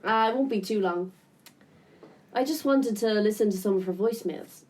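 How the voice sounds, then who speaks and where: A woman's voice: medium pitch, moderate pace, a little mellow, lightly acted. A woman playing a wry, nosy character in casual, naturalistic radio drama dialogue. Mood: wry, curious. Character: wry, nosy woman sorting a relative's belongings. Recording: distant from the mic.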